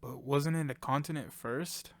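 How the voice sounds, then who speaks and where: A man playing an anxious, loyal, excitable friend in an amateur survival audio drama. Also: mid-range voice, normal speed, no echo, close to an everyday voice. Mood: earnest. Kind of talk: amateur audio fiction dialogue.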